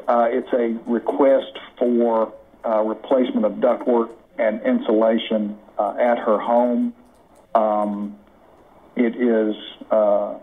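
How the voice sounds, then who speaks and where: An American man giving a formal committee report in a halting, deliberate way over a conference call. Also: on the low side. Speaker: committee member presenting recommendations. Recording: muffled conference call audio.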